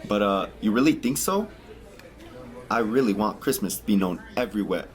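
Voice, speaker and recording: A man's voice: fairly low pitch, expressive, moderate pace, a little hiss or hum, lightly acted. A man as an earnest, naive young man. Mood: eager, amazed. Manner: earnest, wide-eyed. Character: naive, eager young man who loves Christmas. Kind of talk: amateur radio drama acting.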